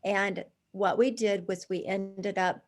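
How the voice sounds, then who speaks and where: A woman in her sixties, a charity founder, telling her story as a guest in a conversational interview, with lively restarts and asides. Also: mid-range voice, slow.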